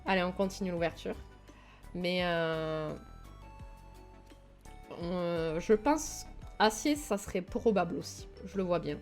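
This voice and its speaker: A woman's voice: lowish voice, takes it slowly, pauses often, soft background music. A young woman from Toulouse with a southern French accent, chatting casually and enthusiastically with her stream viewers, with plenty of fillers. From southern France, Toulouse. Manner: chatty, enthusiastic, many fillers, talks to chat. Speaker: livestream host.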